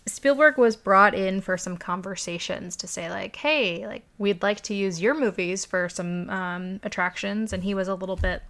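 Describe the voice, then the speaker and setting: A woman's voice: mid-range voice, moderate pace, hardly any pauses, a bit bright. A woman hosting a podcast, recounting a story in casual chat, full of "um"s and "like"s. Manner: chatty storytelling with many "um"s and "like"s. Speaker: podcast host.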